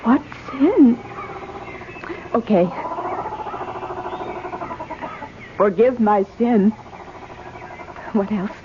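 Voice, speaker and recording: A flippant, chatty wife talks breezily in a slightly high voice. It is old-time radio drama acting, heard through a narrow, tinny recording with faint hiss. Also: female voice, very slow. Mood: flippant, reluctant. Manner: chatty, irreverent.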